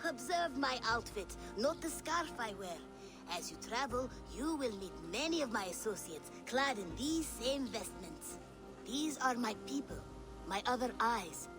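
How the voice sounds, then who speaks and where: Shrewd merchant, lilting high voice. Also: female voice, lively intonation, measured pace, over music. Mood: sly, inviting. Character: shrewd, self-assured female merchant of a secret network. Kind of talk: video game voice acting.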